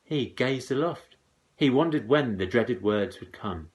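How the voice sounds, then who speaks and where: Slow, highly expressive male storyteller. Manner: dramatic, expressive, deliberate rhymed delivery. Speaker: teacher reading aloud. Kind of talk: theatrical verse storytelling for children.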